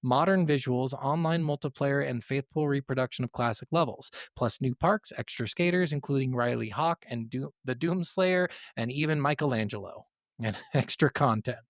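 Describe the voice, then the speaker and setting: A man's voice: mid-range voice, moderate pace, dry recording, studio quality. A man co-hosting a gaming podcast, speaking informally and given to swearing, partly working from notes. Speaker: podcast co-host.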